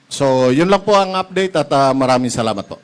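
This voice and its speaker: Male forecaster, Filipino accent, middle-pitched voice, delivering an official spoken weather bulletin. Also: animated, a little fast, smooth. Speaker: duty weather forecaster, engineer. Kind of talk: official flood update briefing.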